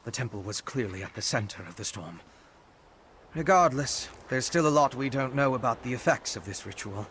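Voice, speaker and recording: An actor playing an earnest, inquisitive scholar in a fantasy video game, speaking in a measured, articulate, analytical way. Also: male voice, medium pitch, animated, fairly bright tone, a little hiss or hum, close to an everyday voice. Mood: concerned, pondering. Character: earnest, inquisitive male scholar investigating dark rituals.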